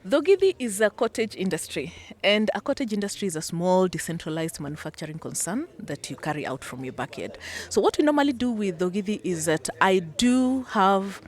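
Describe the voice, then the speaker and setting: A woman's voice: medium pitch, sing-song, a little fast. A woman from Kenya with a Kenyan accent, a business founder answering interview questions conversationally. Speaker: business founder and executive director, interview guest.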